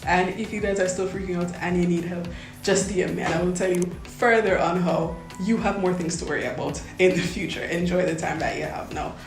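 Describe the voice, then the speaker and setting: A woman's voice: fairly low pitch, steady tone, brisk, roomy sound, soft background music. A Jamaican woman, a medical student, giving earnest, reassuring advice to first-year students and repeating points for emphasis.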